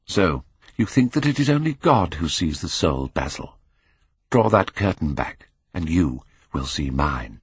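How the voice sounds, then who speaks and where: A contemptuous young gentleman of a Victorian storybook, played by a male narrator. He speaks with hard, bitter mockery and cold pride. The tone is low and husky. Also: sing-song, a little slow, no room sound, exaggerated voice. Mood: cold challenge.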